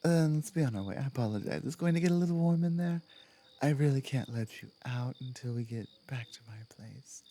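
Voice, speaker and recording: A woman playing a big, strong female minotaur guard captain in an ASMR roleplay, gruff but protective, firm and teasing, sometimes dropping to a hush. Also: a bass voice, animated, fairly quick, voice pushed down, heavily acted. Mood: calm, reassuring.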